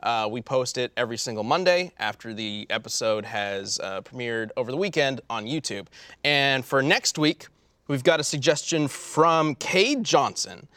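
A man's voice: mid-range voice, lively intonation, normal speed, bright, forceful tone, dry recording. A man hosting a podcast, chatting casually with a panel. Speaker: podcast host.

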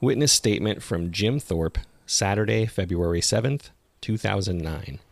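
A man's voice: lowish voice, normal speed, vocal fry, dry recording. A man hosting and narrating a podcast, reading from a script.

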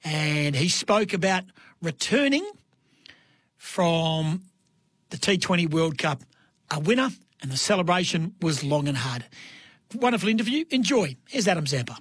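An Australian man with a husky voice, casually presenting and introducing on the radio. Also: a little high, big pitch swings, a little slow, pauses often, a bit bright, no room sound, studio quality. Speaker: radio host introducing an interview.

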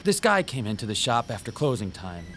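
An actor playing a timid, bullied comic shop owner, hesitant and fretful, in a radio drama. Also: male voice, medium pitch, big pitch swings, a little fast, a bit bright, slight background noise. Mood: weary, confessional.

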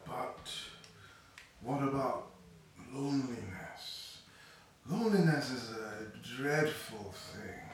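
Audio play: ageing, careless doctor, unhurried and musing, set back in a very echoey room. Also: male voice, medium pitch, animated. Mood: wistful. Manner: slow, musing, offhand. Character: wistful, resigned ageing doctor, fond and careless. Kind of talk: naturalistic audio drama dialogue. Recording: echoey, distant, noisy room recording.

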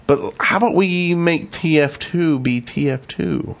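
A man's voice: fairly high pitch, unhurried, vocal fry. A man co-hosting a gaming podcast in casual discussion, with a wry, teasing way of talking. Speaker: podcast co-host.

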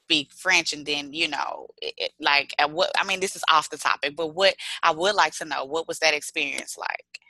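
A woman's voice: deep voice, animated, normal speed, hardly any pauses, pushed and bright, no echo, poor audio. A woman from Houston hosting a casual podcast interview, gushing and enthusiastic as she chats with her guest. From Houston, Texas. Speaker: podcast host.

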